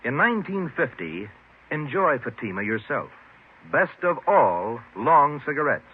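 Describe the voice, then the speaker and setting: A man's voice: medium pitch, very animated, a little slow, breathy edge. A man with an American accent delivering an emphatic, salesmanlike 1950s radio advertisement. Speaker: commercial announcer. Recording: muffled narrow-band old radio recording.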